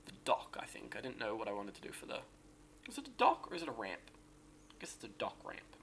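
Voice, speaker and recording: Man, raspy gravelly voice, sore and hoarse, casual game commentary, rambling, faint background hiss. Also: on the low side, huge pitch swings, fast, fairly bright tone. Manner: rambling, self-correcting. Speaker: game streamer.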